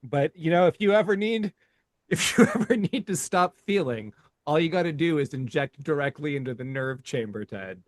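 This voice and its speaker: A man co-hosting a podcast, telling a story in casual chat, laughing often and talking haltingly. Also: on the high side, expressive, no room sound. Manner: laughs while telling stories, halting and profane. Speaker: podcast co-host.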